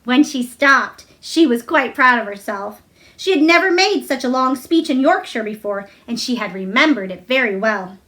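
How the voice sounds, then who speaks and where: Female storybook reader with a high voice, narrating a children's novel aloud. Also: expressive, moderate pace, fairly bright tone.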